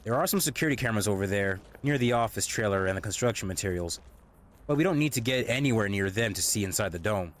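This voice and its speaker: A man's voice: on the low side, animated, fast talker, natural voice. A man playing a casual, confident young scout leading friends in an audio drama. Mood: casual, assured.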